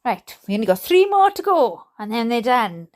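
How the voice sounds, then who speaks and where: A Welsh woman reading aloud casually with chatty, jokey asides to her online audience, in an expressive, self-deprecating way. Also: on the high side, normal speed. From Wales. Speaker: YouTube host reading letters aloud.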